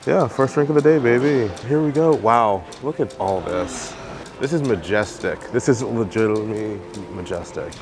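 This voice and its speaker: A fairly low-voiced man talks in a loose, excitable vlog style from the outdoor deck of a cruise ship. He jokes and teases a lot. Music plays clearly underneath. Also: normal speed. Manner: excitable, chatty, teasing. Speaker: travel vlogger. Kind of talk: casual vlog chat.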